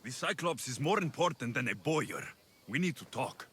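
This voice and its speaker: A man's voice: mid-range voice, animated, moderate pace, fairly bright tone, slight background noise, lightly acted. An actor voicing a blunt, sardonic young male mercenary in a video game cutscene. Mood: impatient.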